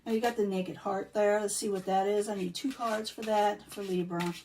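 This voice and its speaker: A woman reading tarot cards in a casual, chatty way, losing her voice and clearing her throat now and then. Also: mid-range voice, steady tone, normal speed, a little mellow, distant mic, slight background noise. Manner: chatty, repeats herself, many um fillers. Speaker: tarot reader.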